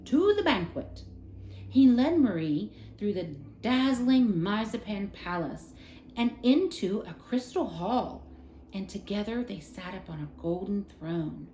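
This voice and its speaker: A woman reading a children's story aloud as a storyteller, in an expressive, dramatic style. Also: medium pitch, a little slow, slight background noise. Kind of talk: scripted children's storybook narration. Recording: roomy, echoing room sound.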